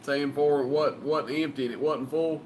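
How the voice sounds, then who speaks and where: A man's voice: a little high, steady tone, moderate pace, heavy background noise. A man chatting casually as the host of a CB radio live stream. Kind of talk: casual chat.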